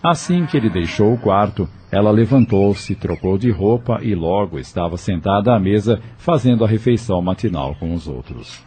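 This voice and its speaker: A man's voice: lowish voice, lively intonation, normal speed, few pauses, darkish tone, slight background noise. A man narrating a scripted audio novel as its storyteller. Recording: faint music behind.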